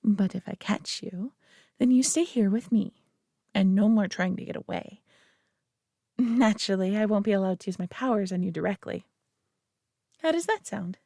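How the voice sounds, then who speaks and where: A woman playing a prideful, possessive alien being in a roleplay audio drama, talking down to the listener with coaxing, deliberate care and dropping into whispers. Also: medium pitch, creaky, mellow, no room sound, clean studio sound. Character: prideful, possessive female superior alien being, condescendingly caring.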